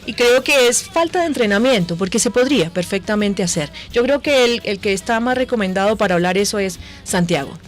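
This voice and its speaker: A woman with a mid-pitched voice gives practical explanations as an interview guest. She is plain-spoken and conversational, with music playing behind her. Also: animated, brisk, hardly any pauses. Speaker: guest voice coach.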